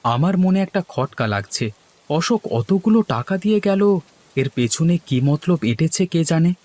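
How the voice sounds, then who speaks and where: A man's voice: a little high, animated, unhurried, a little mellow. A man voicing village men in a cartoon, from schemers to worriers, with theatrical, exaggerated dialogue delivery. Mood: suspicious.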